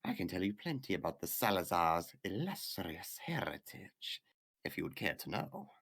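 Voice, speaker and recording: An actor playing a small, smug, theatrical aristocratic villain in a visual novel game, with mocking, drawn-out formal speech. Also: male voice, low-pitched, very animated, creaky, a bit bright, heavily acted.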